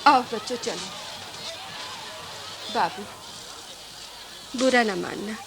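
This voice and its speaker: A woman's voice: a little high, background noise. A woman playing a proud, bitter, defiant poor labouring village mother in a radio play. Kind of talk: Hindi radio play acting.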